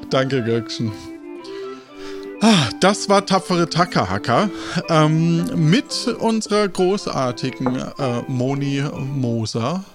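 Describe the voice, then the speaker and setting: Slow-paced man narrating, music behind. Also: fairly high pitch, expressive. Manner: hesitant, searching for words as he improvises. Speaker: game master and host. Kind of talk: improvised audio adventure narration.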